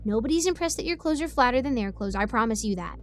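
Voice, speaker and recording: A woman voicing an excitable, defiant ten-year-old girl who tells her own story with rambling, indignant asides. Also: on the high side, fast. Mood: rambling, bemused. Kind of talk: first-person scripted story narration.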